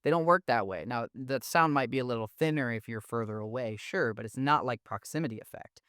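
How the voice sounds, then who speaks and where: A man with a smooth, clean voice and a thin, mid-range tone explains things in a laid-back, slangy way. He sounds enthusiastic and conversational. The recording is dry and close, with no room sound. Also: medium pitch, brisk, a bit bright, no room sound. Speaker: audio engineering instructor. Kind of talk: casual explanatory lesson.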